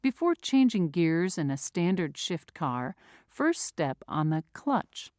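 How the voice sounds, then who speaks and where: A woman's voice: lowish voice, sing-song, slow, darkish tone, no room sound, studio quality. A woman reading vocabulary words and their definitions aloud with careful dictionary-style enunciation. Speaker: vocabulary reader. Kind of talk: slow, clear read-aloud of word definitions.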